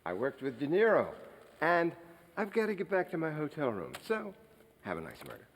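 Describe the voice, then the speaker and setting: A man's voice: a little high, wildly swooping pitch, moderate pace, exaggerated voice. A man playing a pompous, short-tempered New York stage actor in a film, speaking in a haughty, theatrical way. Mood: haughty, impatient. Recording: echoing hall with long reverb.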